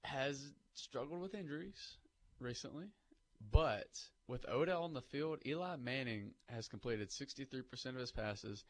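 A man's voice: mid-range voice, moderate pace, slight background noise, rough recording. A man on sports talk radio, reeling off statistics and weighing up a trade with a few fillers. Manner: rattles off stats, many fillers.

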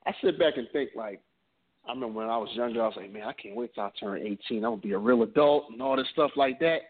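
A man's voice: medium pitch, slightly rough. A laid-back man in his late thirties co-hosts a casual talk show, telling stories with easygoing humor and a mid-range voice. The sound is thin and tinny, like a phone line. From New Jersey. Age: 30s. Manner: laid-back, joking, drawn-out storytelling. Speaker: podcast co-host. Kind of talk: casual chat.

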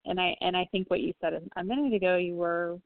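A woman psychic medium giving a reading on a phone-in talk show, speaking gently and reassuringly with frequent pauses and ums. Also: on the low side, dry recording. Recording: tinny phone line, dry.

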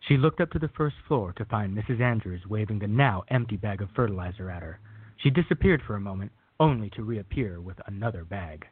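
A man with a husky voice reading scripted narration for a radio drama. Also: lowish voice, animated, brisk, dry recording. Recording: thin phone-like band.

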